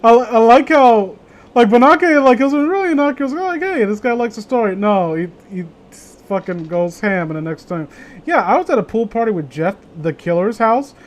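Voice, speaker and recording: A man's voice: very high-pitched, expressive, normal speed. A man chatting casually as a streamer, rambling and opinionated, with plenty of swearing. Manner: rambling, profanity-laden, opinionated. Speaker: streamer hosting a group story reading. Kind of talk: casual chat.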